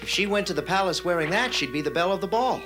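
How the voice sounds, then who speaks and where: A woman voicing a small male animal sidekick in a cartoon fairy tale, friendly, loyal, chatty and expressive. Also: fairly low pitch, very fast, music in the background, natural voice.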